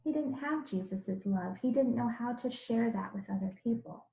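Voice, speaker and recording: A woman's voice: on the high side, normal speed, creaky, warm tone. A woman kindergarten teacher reading a picture book aloud, expressively, with simple explanations for young children. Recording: roomy, echoing room sound.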